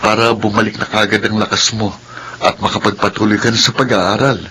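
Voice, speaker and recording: A man's voice: fairly low pitch, little pitch movement, brisk, sharp tone, slight background noise, low-quality recording. A man playing a hopeful, devoted young man in a radio drama, earnest and encouraging. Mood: hopeful, caring.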